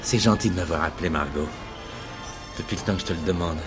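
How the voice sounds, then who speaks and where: An actor playing a smitten, respectable wealthy bachelor in a French radio play, earnest and hesitant with a slight stammer. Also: male voice, deep voice, fast, over music, lightly acted. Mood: amorous, eager.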